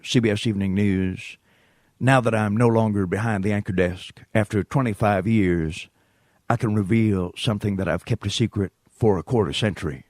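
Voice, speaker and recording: A man putting on a slow old news anchor voice. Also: darkish tone, no room sound, clean studio sound, natural voice. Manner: slow, deep, drawling delivery. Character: gravelly elderly male news anchor, rambling.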